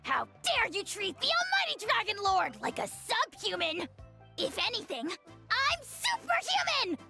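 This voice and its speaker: A tiny self-proclaimed dragon lord who takes every slight as an outrage. The voice is shrill and very high, swinging hard between notes. Music plays clearly in the background. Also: huge pitch swings, measured pace, heavily acted. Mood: indignant. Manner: haughty, shouty, insulting.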